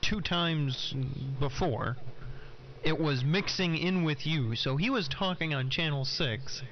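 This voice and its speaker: A man's voice: on the high side, animated, slow. An American man chatting casually and calling for check-ins as host of a CB radio net. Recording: dull lo-fi CB radio, loud static.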